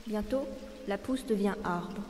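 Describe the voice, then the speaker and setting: A woman's voice: mid-range voice, a little slow, darkish tone. A young woman narrating poetically in short, suspended phrases, recorded with reverb and watery noise. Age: young adult.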